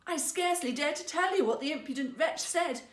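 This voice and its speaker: Woman as a flustered, high-voiced maid of honour. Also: a little fast, reverberant, lightly acted. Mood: scandalised, tearful. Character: timid, flustered young maid of honour to princess.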